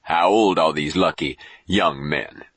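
Man playing a deadpan old doctor, very low. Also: measured pace, breathy edge, dry recording, exaggerated voice. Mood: wry. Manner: deadpan, terse. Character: dry, wry old male doctor and writer. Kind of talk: audiobook character voice.

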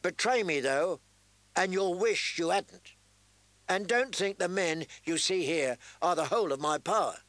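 A man's voice: a little high, expressive, a little slow, a bit bright, no echo, voice pushed up. A man doing a sneering arrogant young prince. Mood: commanding. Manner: sneering, commanding. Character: arrogant young male prince, mocking and threatening.

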